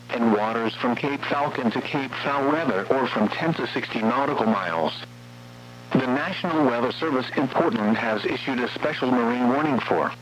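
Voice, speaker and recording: A man's synthetic-sounding voice reads scripted weather warnings as a radio announcer, in a formal, measured way. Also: mid-range voice, a bit bright. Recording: muffled weather radio broadcast, noisy hum.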